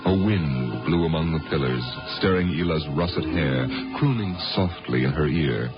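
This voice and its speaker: A man narrating dramatically and with measured storytelling in 1950s radio style. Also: extremely low pitch, expressive. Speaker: announcer and narrator. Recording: muffled 1950 radio recording, loud music. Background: loud dramatic music.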